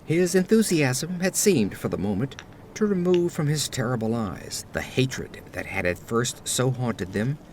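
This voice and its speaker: A man's voice: medium pitch, unhurried, a little hiss or hum. A man playing a haunted aristocrat who narrates a gothic horror audio drama in formal, theatrical, ornate phrasing. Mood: ominous, intense. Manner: formal, theatrical, expressive delivery. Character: haunted aristocratic man recounting terror in grave, ornate words.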